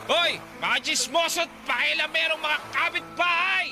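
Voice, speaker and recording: A man's voice: extremely high pitch, moderate pace, creaky, music in the background. A man acting as a hot-tempered, aggressive older brother in a radio drama. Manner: loud, aggressive.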